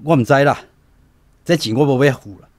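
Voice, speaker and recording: A man's voice: medium pitch, fairly quick, soft background music, lightly acted. An actor playing a stubborn, angry old village father with a Taiwanese accent in a radio drama, blunt and agitated. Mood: angry, defiant.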